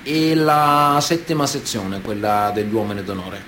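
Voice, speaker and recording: An actor playing a boastful Sicilian mafioso telling prison tales colloquially in a radio drama monologue. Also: male voice, on the low side, lively intonation, unhurried, pushed and bright, slight background noise. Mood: boastful, nostalgic. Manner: colloquial, storytelling, cocky.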